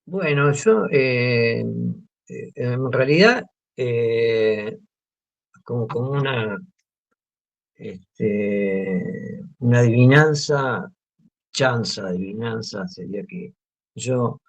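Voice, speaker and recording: A man with an Argentine accent, talking in an interview about his drawing, pausing often with fillers and restarts. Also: medium pitch. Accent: Argentine Spanish. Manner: hesitant, many fillers and restarts. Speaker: guest artist. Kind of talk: relaxed interview talk. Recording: muffled lo-fi audio.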